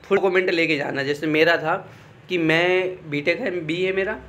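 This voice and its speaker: A man's voice: a little high, moderate pace, a bit bright. A young man, explaining things informally to students in a conversational way, often checking in as he goes. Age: young adult. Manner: conversational, repeatedly checks in with "okay". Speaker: exam guidance YouTuber.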